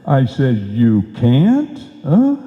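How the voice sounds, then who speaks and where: A man's voice: mid-range voice, huge pitch swings, extremely slow, heavily acted. A man playing a folksy, kindly, wry neighbor in a live old-time radio comedy. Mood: wry, teasing. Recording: dull, echoey hall stage recording.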